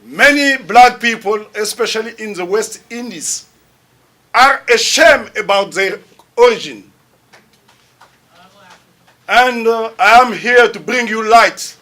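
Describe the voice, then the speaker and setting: Male guest lecturer, Congolese French accent, very deliberate pace, bright tone. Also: high voice. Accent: French-speaking Central African. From Republic of the Congo. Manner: slow, emphatic, rising to loud declarations. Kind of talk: public lecture.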